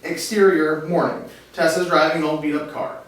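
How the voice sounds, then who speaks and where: A man's voice: fairly high pitch, steady tone, moderate pace. A man reading stage directions as narrator at a screenplay table read, in an echoey room. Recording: very echoey room, distant mic, faint hiss.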